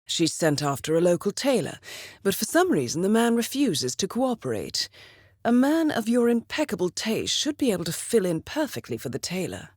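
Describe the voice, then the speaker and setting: A woman playing a composed, calculating intelligence handler in a video game, giving measured, precise mission briefings with dry, understated wit. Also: mid-range voice, no echo. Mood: wry, composed.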